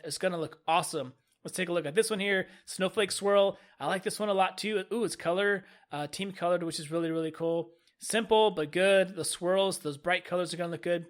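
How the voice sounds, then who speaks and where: A fairly high-voiced man, audibly stuffed up with a cold. He talks through a game update in an upbeat, casual way, often doubling words for emphasis. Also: fairly bright tone. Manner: upbeat, enthusiastic, repeats "really, really". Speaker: gaming YouTuber.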